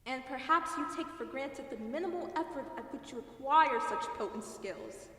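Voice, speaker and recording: A woman's voice: high-pitched, animated, moderate pace, sharp tone. Woman as a lofty demon in cavernous echo. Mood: gently reproachful. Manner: formal, lofty, archaic wording, weary sighs. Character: grave, philosophical demon familiar in human guise, yearning to learn art. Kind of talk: audio drama acting. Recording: distant voice in long echoing reverb.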